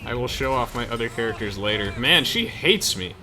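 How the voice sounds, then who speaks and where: A man's voice: medium pitch, animated, a little fast, poor audio. A man giving casual let's play commentary while gaming with friends, chatting offhand about the game. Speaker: gaming video host. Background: game music.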